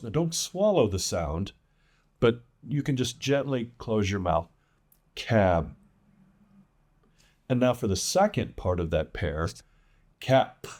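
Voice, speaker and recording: A man giving an English pronunciation lesson as a teacher, enunciating example words with deliberate emphasis. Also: lowish voice, a little mellow. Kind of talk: instructional lesson with demonstrated words.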